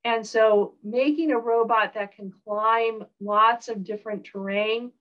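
A woman professor with a Southern US accent giving a recorded online lecture, relaxed, with frequent ums and uhs. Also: on the high side, measured pace, muffled recording.

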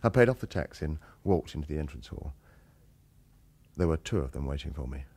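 A man's voice: rumbling low, animated, a little fast, darkish tone, slightly rough, exaggerated voice. An actor playing a wry, world-weary British retired hitman who also narrates a radio crime drama. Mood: tense, dry. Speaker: lead actor and first-person narrator.

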